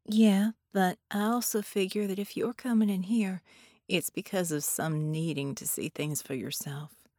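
A woman's voice: mid-range voice, expressive, slow, a little mellow, breathy edge, no echo, voice pushed up, heavily acted. Casting: narrator as a frail but stubborn woman veteran, folksy and pleading, wavering voice, audiobook drama, dry recording. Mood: lonely, confused. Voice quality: wavering, fragile. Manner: folksy, plainspoken. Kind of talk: audiobook character dialogue.

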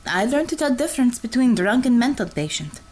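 A brash, mocking Russian commissar, as a woman reader puts her on, with a heavy put-on Russian accent. She talks in blunt, clipped phrases that drop their articles. Her pitch swings widely and sits a little higher than the narration, which keeps her lively. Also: fairly quick. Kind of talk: audiobook character reading.

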